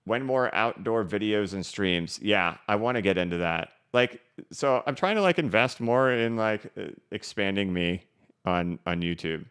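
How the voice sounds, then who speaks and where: A man's voice: fairly low pitch, animated, normal speed, fairly bright tone, clear, resonant tone. A man hosting a live stream, reading viewer messages and answering in a casual, rambling way with many restarts. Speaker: crypto livestream host. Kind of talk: live-stream chat reading super chats. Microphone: studio microphone.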